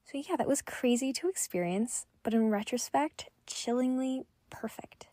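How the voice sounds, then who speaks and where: A woman's voice: on the high side, moderate pace, warm tone, no echo. A woman recording a voice message as a listener, sharing personal commentary as a fan.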